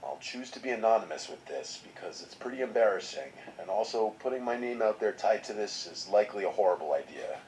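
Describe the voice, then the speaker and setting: Male narrator, deep voice, steady scripted read of a horror story. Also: fairly quick, few pauses, slight background noise, low-quality recording. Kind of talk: scripted first-person narration.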